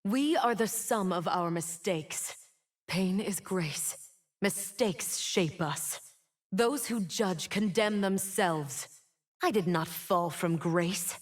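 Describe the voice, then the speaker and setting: An actress voicing a proud, wounded, immortal winged woman in a video game, speaking with solemn, dramatic defiance. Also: lowish voice. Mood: wounded, defiant. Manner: slow, solemn, dramatic delivery. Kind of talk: scripted game character voice lines.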